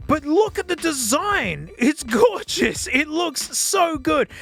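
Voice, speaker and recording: Casting: Australian male vlogger, high voice, informal and jokey, excitable off-the-cuff product reviews. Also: takes it slowly, vocal fry. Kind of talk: casual, unscripted product review.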